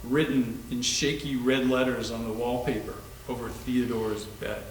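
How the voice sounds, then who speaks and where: A man with a mid-pitched voice narrates a story. He sounds slightly distant in an echoing room with faint noise. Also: level tone, normal speed.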